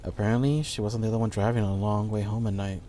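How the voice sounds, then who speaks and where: A man's voice: fairly low pitch, steady tone, fast, mellow, a little hiss or hum. A man reading a scripted horror story as the narrator of an audio play. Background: background music.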